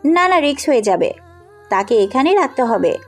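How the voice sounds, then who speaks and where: A woman's voice: sits high, big pitch swings, moderate pace. A woman acting a headstrong, compassionate young woman in an audio story, speaking bluntly and insistently. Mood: defiant. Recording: music under the voice.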